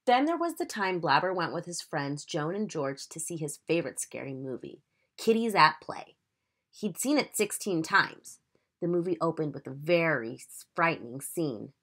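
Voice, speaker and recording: A woman teacher reading a children's picture book aloud in an expressive, emphatic, instructive storytelling manner. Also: sits low, normal speed, breathy edge.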